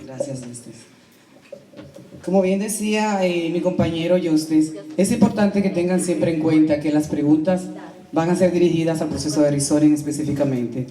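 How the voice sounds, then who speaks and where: A man co-moderating a town hall, making brisk, organized announcements to the audience. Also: on the high side, warm tone. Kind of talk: formal address to an audience. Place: community center hall. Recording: echoey hall from far off.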